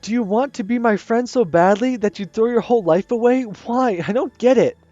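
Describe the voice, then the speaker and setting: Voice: mid-range voice, normal speed, dark tone, dry recording, highs cut off, faint music behind, voice pushed up, close to an everyday voice. A gamer reading a visual novel aloud, voicing a shy teenage schoolgirl who pleads with a wavering voice. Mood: distraught.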